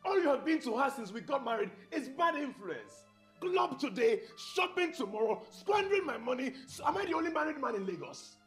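A controlling Nigerian husband in a screen drama. He is indignant and bossy, his pitch swinging widely as he repeats his orders. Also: wildly swooping pitch, normal speed, fairly bright tone, faint music behind, exaggerated voice. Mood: angry. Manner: indignant, commanding, repeats words.

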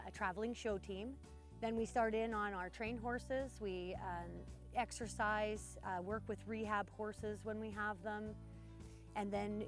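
An easygoing woman with a mid-pitched voice answers interview questions about her horse ranch and fills her pauses with "uh". Faint music plays underneath. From Montana. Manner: easygoing, many fillers. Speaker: ranch owner, riding instructor.

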